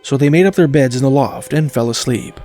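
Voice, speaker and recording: A man narrating scripted documentary stories of eerie places in a measured, formal, articulate storytelling manner. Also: mid-range voice, dark tone. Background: music.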